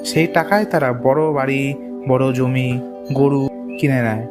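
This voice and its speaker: A man's voice: medium pitch, fairly even intonation, unhurried. A man narrating a scripted moral tale for a cartoon. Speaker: story narrator. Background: music.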